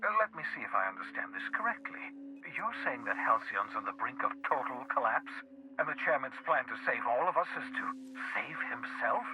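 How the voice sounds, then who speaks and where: An actor playing an eccentric, excitable older renegade scientist in a video game, theatrical and passionately indignant. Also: male voice, mid-range voice, brisk, noisy background, natural voice. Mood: indignant, urgent. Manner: theatrical, erratic, swinging from mutters to outbursts. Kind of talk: video game cutscene acting.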